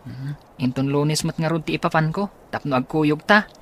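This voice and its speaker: A man's voice: fairly high pitch, normal speed, close to an everyday voice. A young man playing an eager, respectful teenage grandson in a radio drama. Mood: friendly.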